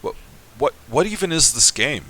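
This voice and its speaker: A man's voice: on the high side, big pitch swings, moderate pace, pushed and bright. A young man reading through a game aloud, musing and thinking aloud between passages. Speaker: audiobook reader.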